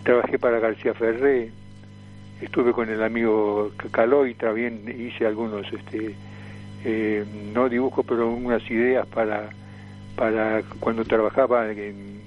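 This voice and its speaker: Old argentine guy in his 70s telling stories on radio, halting and repeating words, dull lo-fi sound, bit of music. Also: fairly low pitch, flat delivery. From Tucumán, Argentina. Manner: hesitant, repeats words and phrases. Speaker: radio guest, veteran comic performer and voice impressionist. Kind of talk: casual reminiscing interview.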